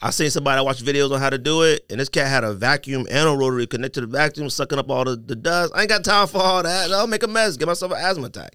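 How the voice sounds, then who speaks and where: A man's voice: mid-range voice, very fast, few pauses, sharp tone, clear, resonant tone, no echo, studio quality. A man co-hosting a podcast in casual, slangy banter, emphatic and given to repeating phrases. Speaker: sneaker podcast co-host.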